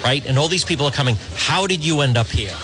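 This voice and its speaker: A man's voice: on the high side, expressive, fast talker, over music. Earnest New England man interviewing on the street. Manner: earnest, rambling questions with restarts. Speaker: radio host reporting from abroad. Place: outdoors at a border crossing among refugees.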